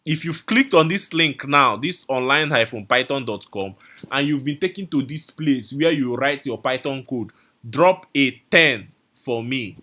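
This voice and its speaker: A man's voice: mid-range voice, expressive, measured pace, bright, forceful tone. A man teaching a live online coding class, repeating his instructions and calling out viewers' names from the chat. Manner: repetitive, coaxing, calls out viewer names.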